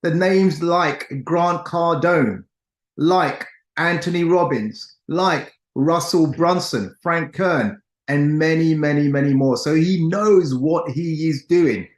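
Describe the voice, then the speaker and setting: Male podcast host, emphatic and upbeat, a touch high, echoey room. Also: slow. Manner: enthusiastic, emphatic introductions. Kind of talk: interview podcast. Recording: roomy echo.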